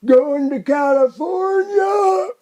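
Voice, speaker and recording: A man voicing an eerie roadside hitchhiker in a radio play, calling out in a thin, drawn-out voice. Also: extremely high pitch, darkish tone, pitched above the performer's own voice. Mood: eerie hailing.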